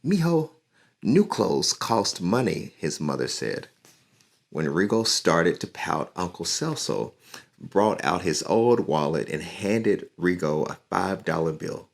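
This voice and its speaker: A deep-voiced man reads a picture book aloud for children. He speaks in an encouraging, unhurried way, guiding young listeners along. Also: lively intonation, creaky. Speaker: community leader and musician reading to children.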